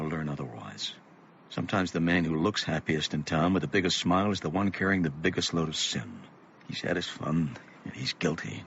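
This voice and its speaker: An actor playing a weary, gentle middle-aged father with a measured, melancholy, reflective way of talking in a radio drama. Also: sits low. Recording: muffled, noisy radio play recording.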